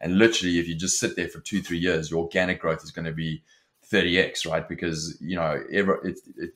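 A South African man in his forties with a very low, deep voice, talking casually over a video call from a home office. He pushes his points forward with lots of restarts and "you know" fillers. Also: flat delivery, quick pace, fairly bright tone. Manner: many restarts and fillers, fluent and forward-driving. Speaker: software company founder, guest. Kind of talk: casual interview talk.

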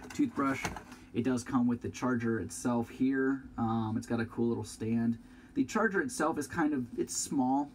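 A man's voice: on the low side, a little mellow, a little hiss or hum. A young man with a congested, sniffly voice rambles casually through an unboxing review at home, thinking aloud and swearing now and then. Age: young adult. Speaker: product reviewer. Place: small room at home, indoors.

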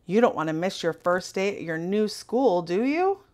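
A woman's voice: medium pitch, big pitch swings, normal speed, darkish tone, lightly acted. A woman voicing a cheerful, patient but exasperated husband with a sing-song coaxing manner in a storybook.

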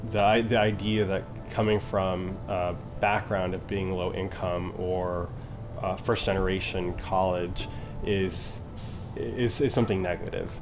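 A young man from Nicaragua talks in a deep, even voice, earnest and repeating little words as he goes. He sits in a book-lined room for a documentary interview, with music under it. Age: young adult. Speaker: graduate student. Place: library room with bookshelves.

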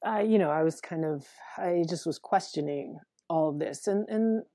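Deep-voiced woman, therapist guest, earnest and candid, mellow tone, dry sound, earphone mic on a video call. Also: moderate pace, dry recording. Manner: frank and earnest, thinks aloud with fillers and restarts. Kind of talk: candid interview conversation. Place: small room at home with a window.

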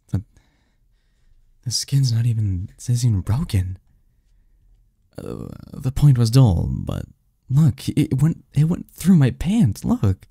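A man acting a snarky, cynical young research assistant who gets flustered, in an intimate audio roleplay with a husky, halting delivery. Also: on the low side, dark tone, no echo, studio quality, exaggerated voice. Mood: baffled, insistent.

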